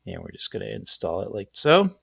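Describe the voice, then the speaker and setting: A man's voice: lowish voice, big pitch swings, fairly quick, gravelly, fairly bright tone, breathy edge, dry recording. A man hosting an informal, off-the-cuff screen-share tutorial in a rambling, self-deprecating way. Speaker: tutorial host.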